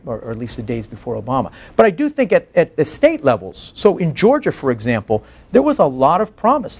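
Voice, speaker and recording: A man's voice: a little high, darkish tone. A man in his forties giving a lecture in an earnest, emphatic way, tagging points with "right?". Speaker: guest lecturer, public defense advocate. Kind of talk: lecture to a faculty colloquium with questions. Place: meeting room, indoors.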